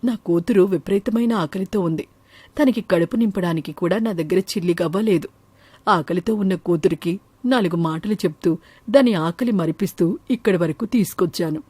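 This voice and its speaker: A woman voicing a poor, gentle, humble farmer father in an animated moral story. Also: on the low side, normal speed, breathy edge, dry recording. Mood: pleading.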